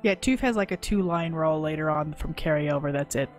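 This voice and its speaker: A woman's voice: low-pitched, a little fast. A woman organizing a voice cast in casual group chat, with game music behind her. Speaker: stream participant organizing the voice cast.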